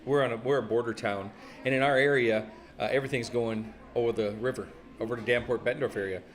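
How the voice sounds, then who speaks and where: A man's voice: medium pitch, fairly even intonation, fast. A man speaking casually as a voter in an informal news interview.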